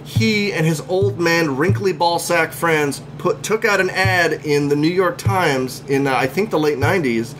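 A man hosting a podcast, telling stories in an animated, joking way. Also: a little high, normal speed, few pauses, faint music behind, rough recording. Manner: animated, jokey storyteller. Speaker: podcast host. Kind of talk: casual chat.

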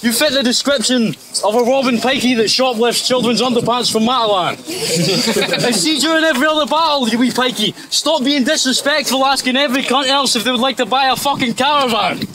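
Scottish lad rapping insults in a battle, very high voice, crowd all round outside at night. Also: male voice, brisk. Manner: mocking, insulting, laughs at own punchlines. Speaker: battle rapper. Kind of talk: rap battle verses. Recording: noisy outdoor crowd recording.

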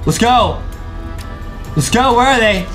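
A young man hosting a gaming video, giving excitable, teasing, slangy gameplay commentary. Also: extremely high pitch, slow pace. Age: young adult. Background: music.

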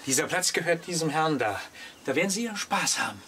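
An actor playing a deadpan, teasing campsite shopkeeper in a German radio play. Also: male voice, a little high, fast talker, slight background noise, heavily acted. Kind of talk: German audio drama dialogue.

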